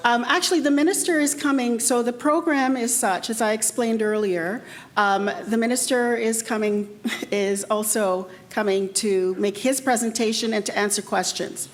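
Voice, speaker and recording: Brisk woman moderating a press event. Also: on the high side, fairly even intonation. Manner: brisk, rushed, managing time.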